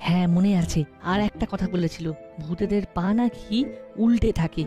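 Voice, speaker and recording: A woman's voice: fairly low pitch, moderate pace, warm tone, muffled recording, soft background music, close to an everyday voice. A woman voicing a cautious young village boy in a cartoon, questioning things in a matter-of-fact way. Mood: doubtful. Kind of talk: cartoon dialogue.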